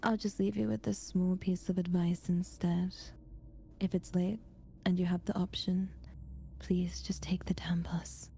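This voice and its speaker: A woman narrating a first-person ghost story in a soft ASMR whisper, measured and confiding. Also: on the low side, level tone, creaky. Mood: hushed, reflective. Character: narrator recounting a personal ghost story, shaken but wry.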